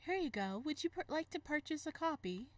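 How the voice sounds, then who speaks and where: A woman's voice: high voice, expressive, a little fast, no room sound, pitched above the performer's own voice. A woman voicing a pushy souvenir photo employee in a visual novel, with a salesy manner.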